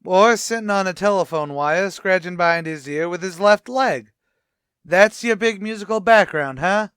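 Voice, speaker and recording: A man's voice: high voice, normal speed, a bit bright, smooth, dry recording, clean studio sound. A man playing a boastful, wisecracking tavern manager in an old-time radio comedy, with a pompous, blustery delivery.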